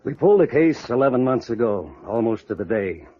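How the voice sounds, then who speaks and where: A man's voice: mid-range voice, very animated, a little fast, mellow. An American man playing a blunt, weary, prickly detective agency boss in a 1950s radio noir drama. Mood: matter-of-fact. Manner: blunt, grumbling, hard-boiled. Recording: muffled vintage radio recording.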